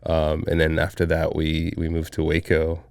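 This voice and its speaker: Deep-voiced man in his thirties. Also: normal speed, gravelly, no echo, studio quality. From Zambia. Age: 30s. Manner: relaxed, reflective, with frequent fillers and restarts. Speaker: guest, coffee shop owner. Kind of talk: casual interview conversation.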